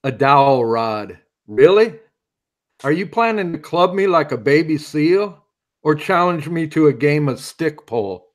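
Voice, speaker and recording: A man's voice: on the high side, lively intonation, a little slow. A man playing a wry pulp hero who tells his story in a dramatic, hardboiled way, in an audio drama. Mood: casual, sardonic. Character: wry male masked hero recalling his alien abduction.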